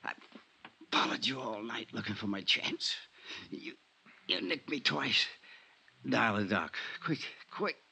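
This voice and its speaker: A crooked insurance adjuster in 1950s radio drama style. The voice is deep but forceful and bright. He talks in folksy tough-guy slang, with a sardonic, falsely genial air that covers a killer. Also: expressive, takes it slowly, vocal fry, long pauses, husky, full character voice. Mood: wounded, fading.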